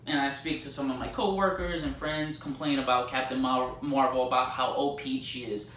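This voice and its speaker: A man's voice: on the high side, pushed and bright. A man giving a casual, rambling movie review with slangy, mildly sweary and emphatic fan enthusiasm. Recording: roomy echo, distant mic, faint noise.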